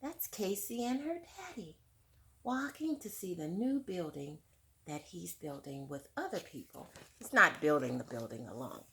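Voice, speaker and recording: Woman teacher with whispery hushed asides. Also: on the low side, very animated, normal speed. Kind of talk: read-aloud for children.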